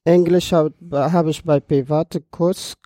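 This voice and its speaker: Unhurried young Afghan man, mellow voice. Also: a little high, fairly even intonation, dry recording, studio quality. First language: Dari. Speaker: podcast participant telling his life story. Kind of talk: personal account in a podcast conversation.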